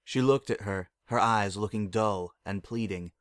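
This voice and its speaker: Male narrator for dramatic fiction reading, fairly deep register, dry studio-like sound. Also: unhurried, fairly bright tone, smooth, no echo.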